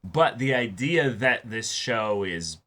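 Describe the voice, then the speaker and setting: A man's voice: fairly low pitch, expressive, takes it slowly, bright, forceful tone. A man co-hosting a reaction show, casually discussing a TV episode in an emphatic, eager way.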